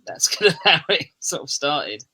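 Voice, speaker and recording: Rambling English man, bright voice. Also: on the high side, big pitch swings, moderate pace, slightly rough. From Kent, southeast England. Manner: rambling, with many fillers and restarts. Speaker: musician guest. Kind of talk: casual chat.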